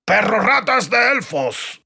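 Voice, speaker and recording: A man voicing a gruff, belligerent male dwarf in an audiobook, roaring and mocking. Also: high-pitched, very animated, slow pace, sharp tone, voice pushed up, full character voice. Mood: angry, defiant. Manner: roaring, indignant.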